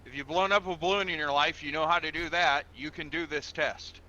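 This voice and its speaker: A man's voice: fairly high pitch, fairly even intonation, fairly quick, pushed and bright, lo-fi sound. A man playing a patient, procedural police officer in an online game roleplay, explaining things step by step in a formal, instructional way. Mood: firm, exasperated. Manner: methodical, instructional, repeats himself, formal with sir.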